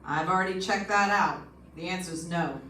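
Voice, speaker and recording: A woman's voice: fairly low pitch, normal speed, bright, forceful tone, slight background noise. Woman acting as world-weary police lieutenant, matter-of-fact, radio drama, roomy, distant. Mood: cautious, doubtful. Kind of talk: old-time radio detective acting. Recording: echoey stage, distant mic.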